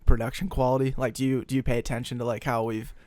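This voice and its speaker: A man's voice: medium pitch, level tone, quick pace, darkish tone, no echo, clean studio sound. A man in his twenties hosting a podcast, loose and chatty in casual conversation. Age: 20s. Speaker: podcast host. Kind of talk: casual chat.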